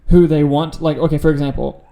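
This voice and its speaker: A man's voice: on the high side, little pitch movement, a little fast, gravelly, mellow. A man co-hosting a podcast, chatting casually about film news in an opinionated, sweary, informal way. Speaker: podcast co-host.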